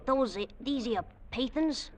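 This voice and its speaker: An actor playing a young soldier, grumbling, whiny and timid about the fighting. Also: male voice, extremely high pitch, unhurried. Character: young cockney army fifer, grumbling and cowardly. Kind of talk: 1940s radio acting. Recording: old radio broadcast with faint hiss.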